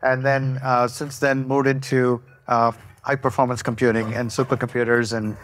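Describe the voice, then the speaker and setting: Middle-aged man, medium-pitched panel speaker. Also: little pitch movement, normal speed, fairly bright tone, a little hiss or hum. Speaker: panelist, technology executive. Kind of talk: unscripted panel introduction. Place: on stage at a conference panel in a curtained room.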